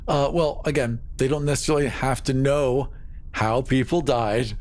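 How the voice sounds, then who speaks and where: Mid-pitched man playing a tabletop game. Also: fairly even intonation, normal speed, a little mellow. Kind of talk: casual improvised roleplay chat.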